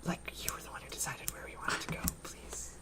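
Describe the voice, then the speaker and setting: A young woman co-hosting a podcast, telling stories in a soft ASMR whisper and rambling along. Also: fairly quick, distant mic. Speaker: podcast co-host.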